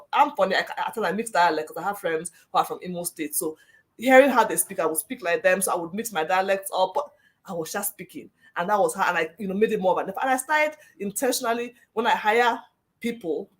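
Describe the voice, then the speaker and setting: A Nigerian woman tells stories at a fast clip with animated energy. She laughs as she goes, keeping a warm conversational flow. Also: medium pitch. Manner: animated, quick storyteller who laughs. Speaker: parent in a discussion. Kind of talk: casual storytelling.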